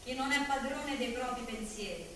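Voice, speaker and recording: A woman's voice: fairly high pitch, moderate pace, close to an everyday voice. A woman playing a grave witness of exile, reciting solemnly in a stage drama. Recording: distant, reverberant hall, faint noise.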